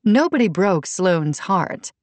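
A woman's voice: lowish voice, very animated, takes it slowly, a little mellow, dry recording. A woman voicing a blunt, defensive, sardonic mother in an audiobook. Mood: indignant. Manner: blunt, confrontational. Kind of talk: audiobook character dialogue.

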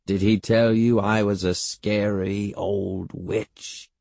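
A man acts out a big old great-aunt from a storybook, loud and menacing. She leers and teases in drawn-out, probing questions, with a husky edge to her voice. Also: flat delivery, no room sound, full character voice. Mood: teasing, menacing. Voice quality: deep-throated. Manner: bellowing, short probing questions.

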